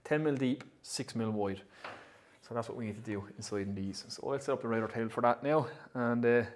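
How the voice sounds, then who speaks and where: A cheerful man in his thirties, explaining each step aloud as he builds in a wooden workshop shed. His voice sits at an ordinary middle pitch and reaches the camera mic from a few metres away. Faint shop noise stays underneath. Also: a little fast. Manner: chatty, upbeat, thinks aloud while working. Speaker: woodworking channel host. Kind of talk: casual workshop how-to. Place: indoor woodworking shed, pegboard walls.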